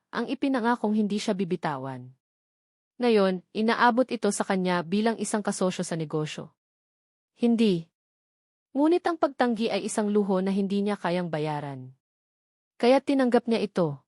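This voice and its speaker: A woman with a Filipino accent reading a scripted romantic drama as a narrator, in a measured, solemn storytelling manner. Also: mid-range voice, a little mellow, dry recording, clean studio sound.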